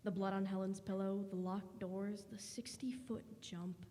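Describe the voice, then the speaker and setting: A woman's voice: medium pitch, fairly even intonation, unhurried, mellow, in a large hall. A woman reading scripted narration for a radio drama. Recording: hollow room, faint background noise.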